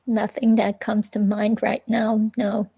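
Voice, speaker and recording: A woman's voice: mid-range voice, flat delivery, vocal fry, warm tone, slightly rough, full character voice. A woman reading the part of a hesitant, chatty ex-girlfriend questioned by police in a dramatic transcript reading. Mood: hesitant, casual. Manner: hesitant, halting, casual.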